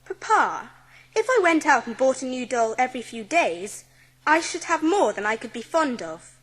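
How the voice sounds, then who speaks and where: A woman's voice: high-pitched, big pitch swings, normal speed, a bit bright, slightly rough, full character voice. A woman playing an earnest, polite, imaginative seven-year-old girl from a wealthy family in an audiobook drama.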